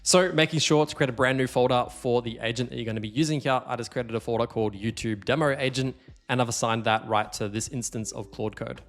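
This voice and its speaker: A man hosting a casual software tutorial, explaining things conversationally and stressing the key points. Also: medium pitch, steady tone, fast. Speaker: tutorial host.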